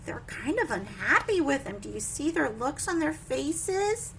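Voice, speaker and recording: A woman leading preschool story time, reading a picture book with simple, expressive asides and questions to young children. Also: sits high, unhurried, creaky, a little hiss or hum.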